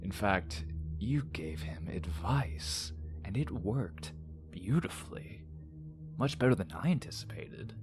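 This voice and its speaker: Man acting a very low, slow, taunting villain. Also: expressive, noisy background. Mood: taunting, manipulative. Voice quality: breathy, often dropping to a whisper. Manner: teasing, deliberate, mock-polite. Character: taunting, manipulative villain, flirtatious, smug and menacing. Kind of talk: audio drama acting.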